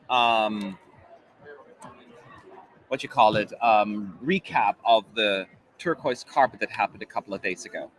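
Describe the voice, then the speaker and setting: A man's voice: medium pitch, fairly bright tone, rough recording. A man in his forties, German-born and living in America, with a slight German accent under mostly American speech, chatting casually as a live-stream host with many fillers. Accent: German, mostly American-sounding.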